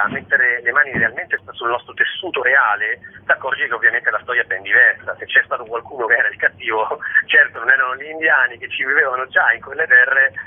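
Husky male interview guest, discursive and expressive, heard over a thin phone line. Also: mid-range voice. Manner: discursive, expressive storyteller with rhetorical asides. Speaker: guest, stage actor and storyteller. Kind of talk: conversational radio interview.

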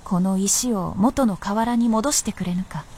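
An actress playing a proud, world-weary princess of feudal Japan in a radio drama, speaking in a lordly, knowing, archaic way. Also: female voice, mid-range voice, darkish tone, husky. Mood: calm, wistful. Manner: lordly, archaic masculine phrasing, measured and knowing. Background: music.

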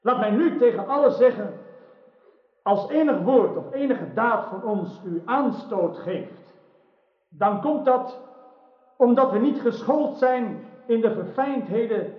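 A young rustic knight in a radio drama, humble and earnest. The voice is high and formal, in a roomy, dull recording. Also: female voice, expressive, slow pace, long pauses.

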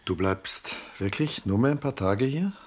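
An actor playing a reserved, melancholy middle-aged man, laconic and measured, in a 1960s radio drama. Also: medium pitch, animated. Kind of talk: 1960s German-language radio drama acting.

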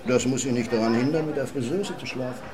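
A man's voice: medium pitch, fast talker, natural voice. A man acting in a radio drama as a dismissive, sarcastic police colleague.

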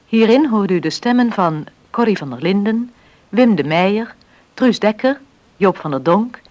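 A woman's voice: mid-range voice, lively intonation, moderate pace, darkish tone. A woman reading a scripted closing announcement and cast credits for a radio drama.

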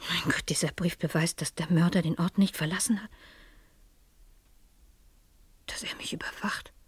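An inquisitive woman professor turned sleuth speaks low and husky, close and dry. She is pensive and intent, narrating and questioning in a radio crime drama. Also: steady tone, fairly quick, no room sound, in a whisper. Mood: hushed, anxious.

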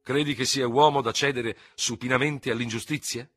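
A man voicing a furious, hot-headed small Gascon cavalry lieutenant, sputtering, hissing and shouting in an audiobook story. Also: on the low side, fairly even intonation, brisk, breathy edge, dry recording, pitched above the performer's own voice. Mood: irate.

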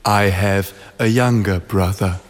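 A man's voice: sits low, fairly even intonation, slow pace. An actor playing an earnest, self-pitying language-course man, mixing English and stilted German in a radio play. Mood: instructive. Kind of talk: radio play dialogue in language-lesson manner.

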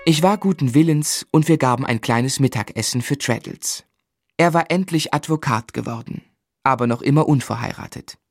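A male actor playing an earnest, gently exasperated young husband in a literary radio play, measured and articulate. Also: mid-range voice, no room sound, soft background music, close to an everyday voice. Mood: reflective, wry. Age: young adult.

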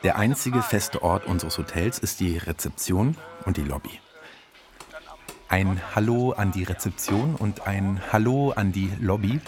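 A man's voice: sits low, unhurried. A man playing a courteous hotel page who formally narrates a radio play as a guide for guests. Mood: polite welcome.

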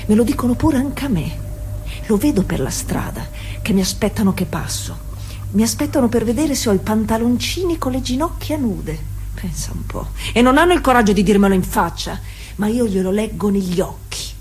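A woman's voice: medium pitch, expressive, normal speed, darkish tone, soft background music, lightly acted. An actress playing a feisty, devout working-class woman cyclist, confiding in Jesus in a colloquial stage monologue, repeating words insistently. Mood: wry, bitter. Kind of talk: theatrical monologue, intimate and colloquial.

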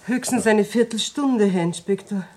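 A woman's voice: medium pitch, normal speed, darkish tone, lightly acted. An actress in a German radio crime drama playing a genteel middle-aged mother, indignant at being suspected. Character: indignant middle-aged mother, estranged wife under suspicion.

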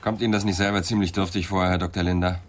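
An actor playing a dry, persistent police inspector in a radio crime drama, questioning with sceptical insistence. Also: male voice, sits low, level tone, fast talker, faint music behind, lightly acted. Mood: sceptical, pressing.

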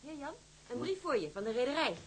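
A woman's voice: high voice, very animated, fast talker, noisy background. A woman playing a homely but firm young seaman's wife and mother in a radio drama. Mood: subdued, worried. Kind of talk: naturalistic period radio drama.

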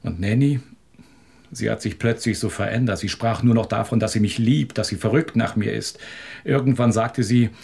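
An actor in a German radio drama playing a guilt-ridden married man, hesitant and apologetic as he confides, with a husky, creaky voice. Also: on the low side, normal speed, darkish tone. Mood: troubled confession. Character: guilt-ridden married man nervously confessing a secret affair.

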